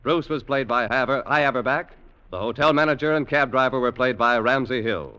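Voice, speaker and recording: A man's voice: mid-range voice, brisk. A man reading 1940s radio announcements in a formal, polished manner. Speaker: program announcer. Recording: muffled old radio broadcast. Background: loud orchestral music.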